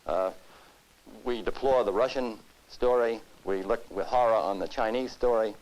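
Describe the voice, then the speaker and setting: A man from the United States giving serious interview commentary, speaking deliberately in formal, rhetorical phrases. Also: fairly low pitch, a little mellow, low-quality recording. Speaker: expert interviewee.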